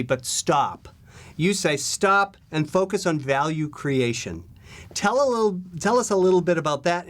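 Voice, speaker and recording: A man hosting an interview, asking long enthusiastic questions with many fillers. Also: on the high side. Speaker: host. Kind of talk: interview host.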